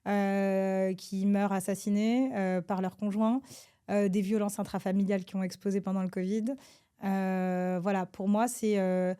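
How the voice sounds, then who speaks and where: A woman from France, a government advisor, answers interview questions in a hesitant, halting way with many filler sounds and restarts. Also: medium pitch, flat delivery, mellow, clean tone. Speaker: government advisor, podcast guest.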